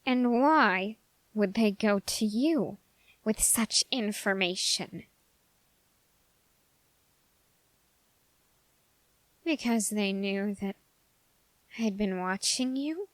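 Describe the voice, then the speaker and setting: A woman playing an obsessive young male soldier fascinated by demons in an ASMR audio roleplay, with intense, halting, formally worded delivery straight to the listener. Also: mid-range voice, no echo, natural voice. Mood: frustrated, suspicious.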